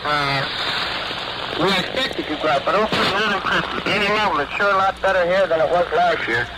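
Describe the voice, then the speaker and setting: An American man speaks as a sailor in scripted radio banter. His voice is a bit high. Very loud static-like noise covers the 1940s shortwave relay. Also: normal speed.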